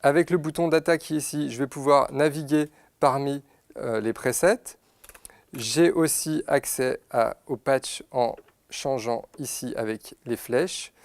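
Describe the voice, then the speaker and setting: A man giving an unscripted, methodical product demonstration, pausing to find his words as he explains each step. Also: mid-range voice, creaky, dry recording. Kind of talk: unscripted instructional product demo. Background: synthesizer demo sounds.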